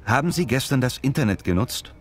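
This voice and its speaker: A man's voice: medium pitch, lively intonation, fairly quick, lightly acted. A man playing a courteous street reporter asking survey questions in a scripted language-lesson dialogue. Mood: polite, inquiring. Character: polite male street reporter doing a survey. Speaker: interviewer.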